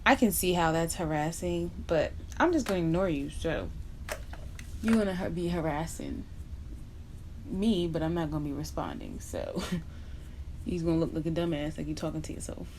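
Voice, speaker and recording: A woman's voice: low-pitched, lively intonation, normal speed, long pauses, a little mellow, slight background noise, poor audio. A young woman from Barbados chatting bluntly and casually while doing her makeup for a vlog, dropping in slang asides. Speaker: beauty vlogger.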